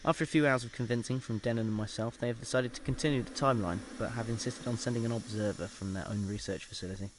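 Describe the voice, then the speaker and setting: A man's voice: mid-range voice, fairly even intonation, lightly acted. Man acting a formal research scientist over background noise. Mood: measured, uneasy. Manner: formal, clinical, hesitant pauses. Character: measured male research scientist dictating an experiment log. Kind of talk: audio drama monologue as a recorded log.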